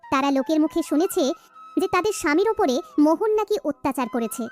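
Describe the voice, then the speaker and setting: A woman's voice: high voice, animated, fast, a little mellow, faint music behind. A woman with a West Bengal accent narrating a scripted children's story.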